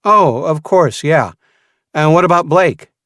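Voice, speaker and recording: A man voicing an energetic, keen seventeen-year-old boy in an audiobook, polite and eager. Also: fairly high pitch, slow, dry recording, studio quality, pitched above the performer's own voice.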